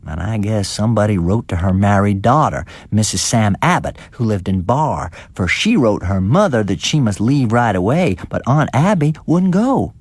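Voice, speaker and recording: A man's voice: fairly low pitch, expressive, normal speed, hardly any pauses, a little mellow, slightly rough, no room sound. A man voicing an elderly, indignant village gossip with a folksy, rustic manner of speech in a dramatised story reading. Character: elderly unmarried village woman gossiping indignantly about neighbours.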